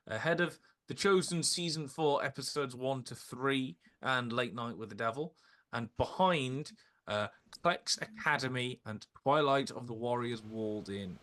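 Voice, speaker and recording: A man's voice: medium pitch, a bit bright. A man co-hosting a film podcast in casual conversation.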